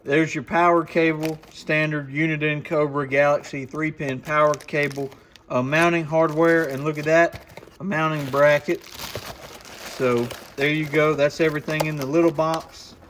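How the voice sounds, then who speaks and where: A man with a slightly high voice walks through a product in a casual, folksy manner. He explains each step plainly, like a shop owner showing his goods. There is faint noise and some clicking in the recording. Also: little pitch movement, measured pace, fairly bright tone. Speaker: radio shop owner presenting a product demo. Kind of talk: casual hands-on product walkthrough. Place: radio shop, indoors.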